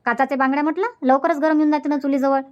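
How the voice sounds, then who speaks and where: A whiny young village sister voiced by a woman in a cartoon comedy. She grumbles to herself quickly in a high voice with a Varhadi Marathi accent. The audio is dull and lo-fi. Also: little pitch movement, close to an everyday voice. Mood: whiny, grumbling. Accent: Varhadi Marathi from Vidarbha. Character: whining young village sister sweating over a cooking fire. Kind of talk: dubbed cartoon comedy monologue.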